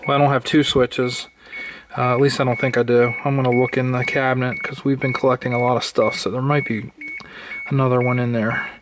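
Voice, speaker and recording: A man's voice: mid-range voice, little pitch movement, fairly quick. Middle-aged man thinking aloud, noisy recording. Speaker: vlogger. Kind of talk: casual how-to vlog narration. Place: car interior and indoor workbench.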